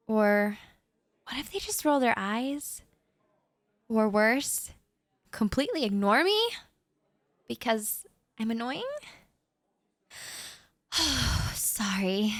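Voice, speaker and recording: Slow, shy young woman rambling softly. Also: fairly high pitch, dry recording, clean studio sound. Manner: shy, rambling and soft-spoken with nervous laughs. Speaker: audio roleplay performer. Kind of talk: intimate audio roleplay monologue to the listener.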